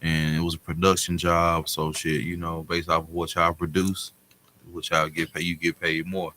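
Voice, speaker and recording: A man's voice: low-pitched, completely flat delivery, normal speed, bright, forceful tone, a little hiss or hum. A man telling personal stories in a casual panel talk, blunt, slangy and profane. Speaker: panel guest.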